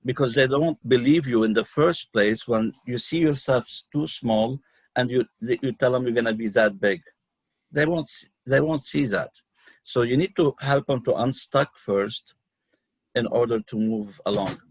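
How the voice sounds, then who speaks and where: A middle-aged man from Lebanon with a Lebanese accent, telling his own story as an interview guest, unscripted and halting, often pausing mid-sentence. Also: mid-range voice, no echo. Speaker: guest, numerologist and healer.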